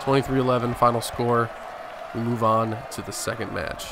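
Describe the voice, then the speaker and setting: A man's voice: medium pitch, fairly even intonation, moderate pace, vocal fry, a crowd in the background, background noise. A man giving casual, joking and sometimes profane commentary as host of a gaming video. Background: video game audio.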